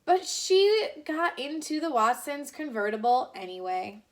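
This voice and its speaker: A woman's voice: sits high, big pitch swings, a little slow. A woman teacher reading a picture book aloud to children, adding chatty asides to the kids.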